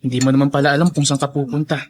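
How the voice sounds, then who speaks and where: A man playing a kind, easygoing young plantation gentleman in a radio drama, friendly and reassuring, mixing in English words. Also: mid-range voice, flat delivery, very fast, close to an everyday voice. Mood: kindly, curious. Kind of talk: Filipino radio drama acting.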